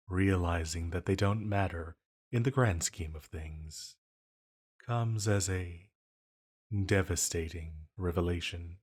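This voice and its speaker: An ancient banished villain acted by a man speaks in a very low voice at an unhurried, deliberate pace. He is silky and intimate, flirting with a condescending, dangerous charm, as in an audio drama. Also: husky, exaggerated voice. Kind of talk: roleplay audio drama monologue.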